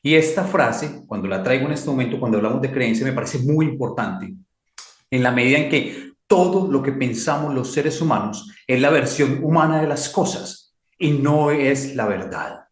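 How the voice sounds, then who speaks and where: A Colombian man speaking as a mentor and guest, in a slow, emphatic, reflective way that repeats key phrases. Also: mid-range voice, animated, echoey room. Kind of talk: reflective talk.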